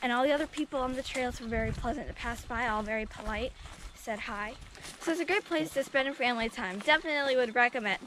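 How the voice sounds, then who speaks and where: A woman's voice: on the high side, fast talker, a bit bright, a little hiss or hum, rough recording. A young woman chatting cheerfully into a camera in selfie mode on a forest trail. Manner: upbeat, chirpy, giggly. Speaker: vlogger's wife. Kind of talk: casual family vlog. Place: outdoors in a pine forest. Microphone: camera in selfie mode at arm's length.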